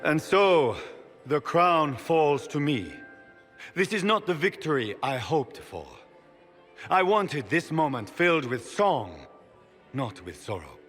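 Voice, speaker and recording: An actor playing a proud soldier emperor, giving a grand, measured speech in a video game cutscene. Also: male voice, a little high, fairly bright tone, full character voice. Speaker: newly crowned emperor. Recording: long hall reverb with music.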